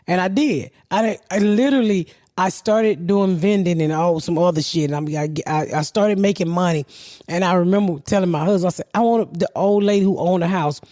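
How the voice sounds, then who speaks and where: A woman's voice: on the low side, moderate pace, mellow, dry recording, clean studio sound. A middle-aged woman, a podcast host telling a long story in a blunt, profane, rambling way. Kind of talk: casual storytelling.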